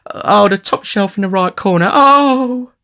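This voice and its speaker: Man putting on a fluttery, high-voiced old matron. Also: measured pace, pushed and bright, smooth, exaggerated voice. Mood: distressed, whimpering. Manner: breathless, fluttering, plaintive. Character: flustered, whimpering, fainting older matron lady.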